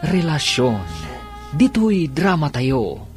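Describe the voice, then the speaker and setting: Theatrical male radio serial announcer, animated pitch. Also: soft background music. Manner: theatrical, drawn-out title calls. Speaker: radio drama announcer and narrator. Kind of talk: dramatic scripted narration.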